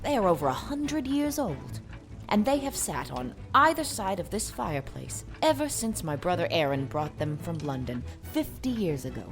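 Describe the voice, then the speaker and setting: A woman playing a proud, brisk old spinster lady in a dramatized audiobook, speaking in an emphatic, decisive way. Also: on the low side, sing-song, music in the background. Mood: proud, fond. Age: older. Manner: emphatic, decisive, plain-spoken.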